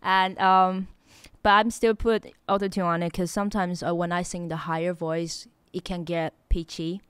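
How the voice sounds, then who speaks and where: Chatty young woman, low voice, faint music. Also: little pitch movement, moderate pace, a bit bright, clean tone, dry recording. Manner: chatty and self-deprecating, laughs at herself. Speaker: music producer hosting a tutorial stream. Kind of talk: casual tutorial talk. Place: small home studio with neon lights. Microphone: studio mic on a boom arm close to her mouth.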